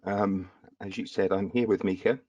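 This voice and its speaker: Low, dark-voiced man, slightly husky. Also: steady tone, normal speed, no room sound. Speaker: executive director, webinar co-host. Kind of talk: webinar introduction. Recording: dull, distant web call audio.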